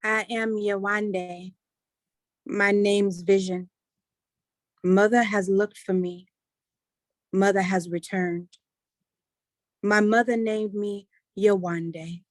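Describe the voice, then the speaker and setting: A woman in a staged play reading, playing a solemn ancestor spirit, a formerly enslaved mother telling her story deliberately. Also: medium pitch, steady tone, no room sound, close to an everyday voice. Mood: solemn, proud.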